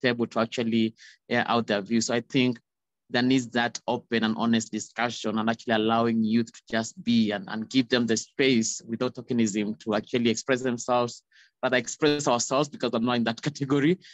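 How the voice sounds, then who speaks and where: A man in his thirties giving a measured, articulate answer as a panelist on a dull-sounding video call. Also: medium pitch, level tone, dry recording. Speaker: youth panelist. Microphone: video call, close device.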